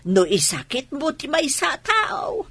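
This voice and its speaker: A woman's voice: high voice, wildly swooping pitch, unhurried, fairly bright tone, heavily acted. A woman playing a nosy, teasing older mother in a radio comedy. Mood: teasing, concerned. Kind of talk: radio comedy drama dialogue.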